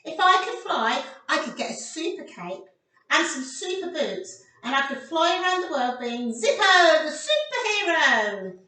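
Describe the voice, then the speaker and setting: A woman's voice: high-pitched, big pitch swings, unhurried, sharp tone, exaggerated voice. A woman voicing an eager, apologetic young hippo who wants to be a superhero, in earnest, simple words, for a children's storybook. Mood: wondering, hopeful. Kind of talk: children's storybook read-aloud. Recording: roomy echo, distant microphone.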